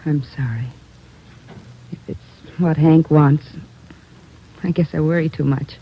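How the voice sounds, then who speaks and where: A woman's voice: low-pitched, fairly even intonation, slow, breathy edge, heavily acted. An American woman playing an anxious, earnest young wife and mother in a 1940s radio drama. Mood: anxious, resigned. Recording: dull old radio recording.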